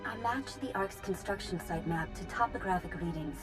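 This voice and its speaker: An actress playing a practical mission analyst in a video game, giving a matter-of-fact briefing. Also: female voice, mid-range voice, moderate pace, music in the background, natural voice. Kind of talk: scripted game acting.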